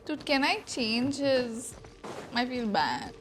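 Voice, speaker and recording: A woman's voice: a little high, big pitch swings, slow. A woman from India chatting casually on a game livestream in a scattered, giggly way. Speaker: streamer. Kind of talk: casual livestream chat while playing a game.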